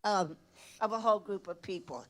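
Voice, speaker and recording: An older woman giving an earnest, emphatic public comment, breaking her speech into short phrases with pauses. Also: mid-range voice. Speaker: public commenter at a council meeting.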